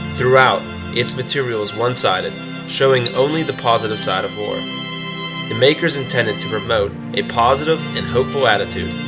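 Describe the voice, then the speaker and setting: A man reading a scripted, structured explanatory narration as a video essayist. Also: mid-range voice.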